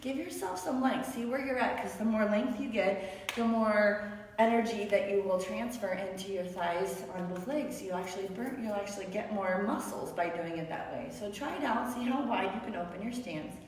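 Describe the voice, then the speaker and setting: A raspy-voiced woman leads a beginner yoga class with playful, encouraging cues, sounding tired after many classes. Her voice comes from well away from the mic. It rings through a very echoey room with a long, trailing reverb. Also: medium pitch, normal speed, recorded from a distance, a little hiss or hum. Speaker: yoga instructor. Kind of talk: guided yoga class instruction.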